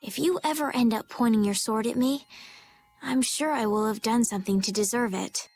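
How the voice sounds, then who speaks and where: A woman playing a gentle, sincere, idealistic young noblewoman in a dubbed anime game, polite and earnest. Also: on the high side, moderate pace, breathy edge, soft background music. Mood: warm resolve.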